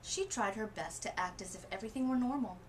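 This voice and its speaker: A woman reading a children's storybook aloud as a literacy coach, with expressive emphasis and dramatic pauses. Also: medium pitch, a little hiss or hum.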